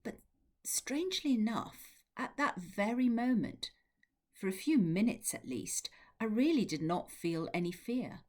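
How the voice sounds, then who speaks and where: A woman reading a first-person novel aloud in a measured, expressive storytelling manner. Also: fairly high pitch. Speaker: literary translator reading from a novel. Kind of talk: audiobook-style narrated prose reading.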